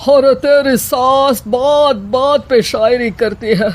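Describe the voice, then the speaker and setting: A woman's voice: high voice, slow. A woman doing a grumbling, henpecked old father-in-law. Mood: exasperated, helpless. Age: older. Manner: exasperated, grumbling.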